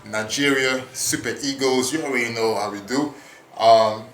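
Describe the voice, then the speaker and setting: Animated man podcasting from an echoey gym. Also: mid-range voice, normal speed, soft background music. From France. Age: 30s. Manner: animated, hyping, swears casually. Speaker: podcast host. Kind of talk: casual monologue podcast. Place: gym at work.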